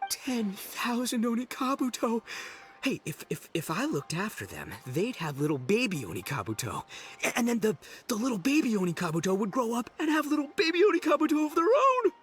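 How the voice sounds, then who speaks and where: A boisterous, gullible young video game hero with a high voice that swoops all over the place. He is brash and bumbling, tripping over his words. His delivery is sharp and forceful, with music behind it. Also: huge pitch swings, a little fast, full character voice. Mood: amazed, excited. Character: boisterous, gullible, big-hearted young man playing a lawman. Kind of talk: exaggerated video game voice acting.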